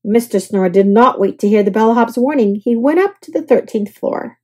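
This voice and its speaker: A woman's voice: fairly high pitch, animated, normal speed, warm tone. A woman storyteller narrating a children's picture book aloud.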